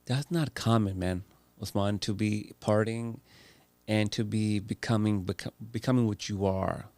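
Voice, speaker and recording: Low gravelly male host, playful questions. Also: fairly even intonation, measured pace, a little mellow, no room sound. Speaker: interview host. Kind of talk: casual interview chat.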